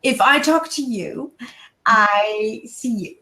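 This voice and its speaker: High-voiced woman giving emphatic advice. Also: expressive, takes it slowly, sharp tone, roomy sound, rough recording. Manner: emphatic, coaching, direct address. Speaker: guest, kindness speaker. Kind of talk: animated advice-giving interview talk.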